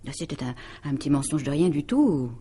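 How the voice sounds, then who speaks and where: An actress playing a calculating, possessive middle-aged woman in a radio mystery drama, speaking in a taunting, deliberate, self-satisfied way. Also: sits low, sing-song, warm tone, slight background noise. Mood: smugly triumphant.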